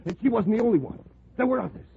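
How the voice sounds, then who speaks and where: A man's voice: high-pitched, lively intonation, very fast, creaky. A man acting a bitter, desperate war veteran bent on revenge, in intense 1940s radio drama style. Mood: bitter, agitated. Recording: narrow, noisy 1940s radio recording.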